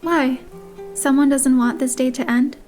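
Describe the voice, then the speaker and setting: Woman voicing a high-pitched, playful romance heroine. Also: fairly even intonation, measured pace, darkish tone. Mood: teasing. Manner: teasing, affectionate. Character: playful, tender young woman teasing her beloved. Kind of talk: romance game dialogue, fan voice-over. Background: guitar music.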